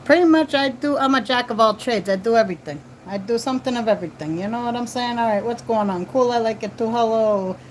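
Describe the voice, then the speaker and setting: Woman in her forties, livestream host, fairly high voice, chatty and repetitive, faint background noise. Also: quick pace, hardly any pauses, poor audio. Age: 40s. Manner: chatty, repeats phrases and tag questions. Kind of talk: casual live chat with viewers. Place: at home, children nearby.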